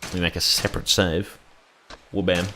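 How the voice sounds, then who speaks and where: A man's voice: sits low, little pitch movement, normal speed, noisy background. A man giving casual, rambling gameplay commentary as a video creator, irreverent and often swearing.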